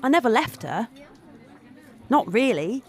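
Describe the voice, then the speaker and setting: A woman's voice: a little high, sing-song, measured pace, husky, some chatter behind, full character voice. An actress playing a resentful, devoted woman confidante, delivering a scornful, confiding monologue in an audio drama. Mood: resentful, possessive.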